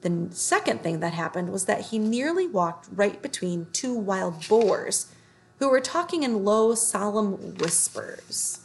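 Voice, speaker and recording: A woman's voice: mid-range voice, animated, a little slow, a little hiss or hum. A woman in her thirties narrating a children's story, read aloud from the book at home. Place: small living room, indoors.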